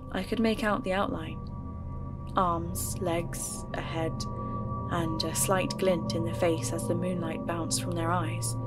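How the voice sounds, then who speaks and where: A woman narrating her own investigation in first person for an audio drama podcast, in a measured, reflective storytelling manner. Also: fairly low pitch. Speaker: narrator and host. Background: background music.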